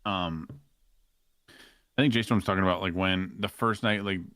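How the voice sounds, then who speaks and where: A man's voice: sits low, fairly even intonation, normal speed, a bit bright, smooth, no echo, studio quality. A man chatting casually as a podcast guest, telling gaming stories. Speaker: podcast guest, game roleplay streamer. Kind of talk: casual storytelling chat.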